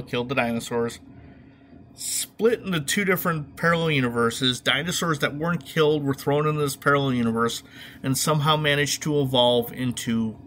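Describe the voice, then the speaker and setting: A man's voice: mid-range voice, a bit bright, slight background noise. A middle-aged man hosting a casual, comedic movie review podcast in an opinionated, wry, informal way. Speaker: podcast host.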